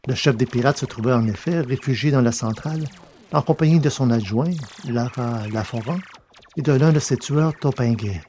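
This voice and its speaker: A man's voice: medium pitch, moderate pace, mellow, slight background noise. A man narrating a science-fiction adventure novel as a scripted audiobook reading.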